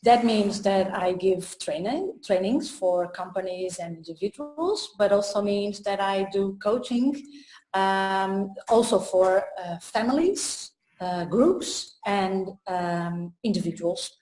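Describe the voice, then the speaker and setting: Slow, hesitant woman from Portugal. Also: mid-range voice, a little mellow. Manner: slow and hesitant, many fillers and pauses. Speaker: trainer and coach. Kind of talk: casual interview talk. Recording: echoey room.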